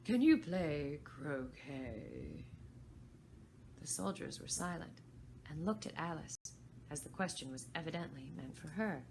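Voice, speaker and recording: A woman reads a children's story aloud in a low voice with a creaky edge. She narrates as a volunteer reader, with some noise behind her. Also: normal speed.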